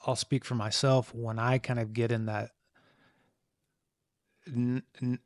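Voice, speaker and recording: A man's voice: mid-range voice, little pitch movement, no echo, studio quality. A man co-hosting a casual podcast chat with his partner, speaking hesitantly with frequent restarts. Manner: hesitant, halting, restarts often. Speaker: podcast co-host.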